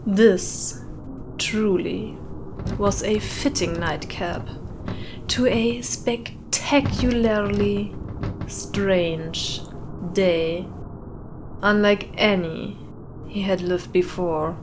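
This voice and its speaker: A woman narrates an atmospheric short story at a slow, measured pace. She leaves dramatic pauses between phrases. Her voice has a middle pitch and sits over clearly audible howling wind ambience. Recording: windy howling ambience behind voice. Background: added wind or howling ambience.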